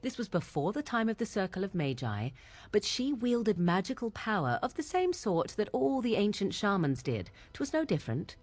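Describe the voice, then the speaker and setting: An actress playing a proud, brooding young witch in a fantasy video game, with formal, archaic and deliberate diction. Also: medium pitch, very animated, darkish tone, no room sound. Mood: grave, measured. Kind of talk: video game dramatic dialogue.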